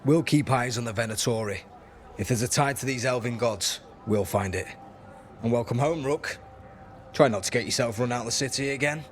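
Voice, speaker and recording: A man's voice: medium pitch, brisk, a little hiss or hum, lightly acted. A man playing a sardonic, grumbling resistance contact in a fantasy video game. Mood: businesslike, dry.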